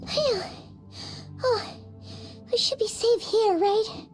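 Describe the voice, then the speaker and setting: A woman voicing a tiny, bubbly, anxious fairy girl in a video game, childlike and exclamatory, with a squeaky voice. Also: slow pace. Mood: curious, uneasy. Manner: childlike, exclamatory, refers to herself in third person. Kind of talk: anime-style game voice acting. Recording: music behind the voice.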